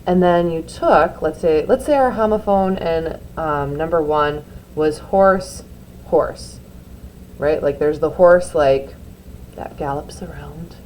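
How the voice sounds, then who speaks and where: A woman's voice: sits low, slow, a little mellow, slight background noise, low-quality recording. Chatty woman teacher explaining an art task. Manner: chatty, encouraging, with filler words. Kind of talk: classroom instruction video.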